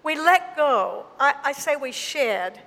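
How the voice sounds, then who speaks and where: A woman's voice: high voice, animated, fairly bright tone. Southern woman pastor preaching. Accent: Southern US, Mississippi. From Mississippi, USA. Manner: storytelling, slow and emphatic. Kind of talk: sermon. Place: church gym. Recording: long hall reverb.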